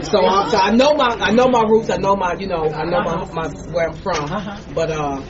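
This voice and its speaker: A chatty woman tells stories in an animated, laughing way. She sounds distant and muffled. A busy crowd murmurs behind her. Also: fairly low pitch, brisk. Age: 50s. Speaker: family member at a reunion. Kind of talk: casual storytelling.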